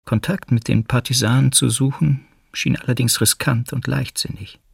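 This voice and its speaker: A man's voice: mid-range voice, fairly even intonation, moderate pace, gravelly, breathy edge, dry recording, studio quality. An actor playing a wary man recalling life in hiding from wartime occupiers, in a sober, reflective radio drama reading. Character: wary male fugitive recalling wartime resistance plans.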